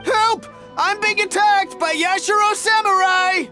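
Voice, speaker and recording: A man's voice: very high voice, steady tone, a little slow, bright, forceful tone, soft background music, exaggerated voice. A man playing a brash, greedy, resentful merchant in a video game, with a theatrical, sneering, wheedling delivery. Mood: mock panic. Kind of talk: video game character acting.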